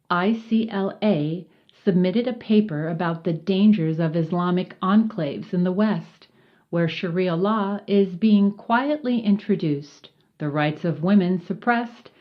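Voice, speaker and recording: Woman narrating a report, lowish voice, formal and even, kinda dull muffled recording. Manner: formal, measured reading with acronyms spelled out letter by letter. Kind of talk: scripted narration read from a report.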